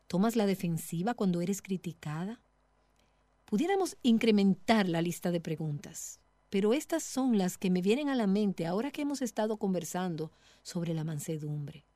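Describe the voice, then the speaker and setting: A middle-aged woman giving a devotional teaching talk as a Bible teacher, speaking in a measured, earnest way directly to the listener. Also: medium pitch, warm tone, no echo.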